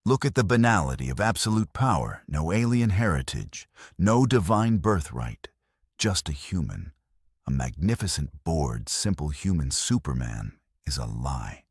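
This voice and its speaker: Man playing a deep, grandiose manic villain. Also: very animated, a little slow, slightly rough, no room sound, clean studio sound, heavily acted. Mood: manic scorn. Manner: grandiose, ranting. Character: manic, arrogant, scornful male supervillain.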